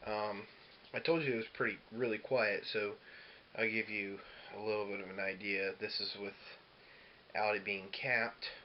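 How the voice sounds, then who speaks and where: A man's voice: lowish voice, level tone, measured pace. A man giving a casual, hesitant how-to demo as a musician, explaining things informally and loosely. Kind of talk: casual instructional demo talk. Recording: dull lo-fi audio with clear hiss.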